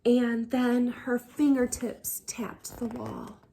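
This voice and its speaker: A woman reads a children's story aloud in a fairly high voice. She takes her time, going very slowly through each phrase. Her delivery is expressive and deliberate, shaped for dramatic storytelling. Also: creaky, a little hiss or hum. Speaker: storyteller reading a children's book. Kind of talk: expressive read-aloud narration.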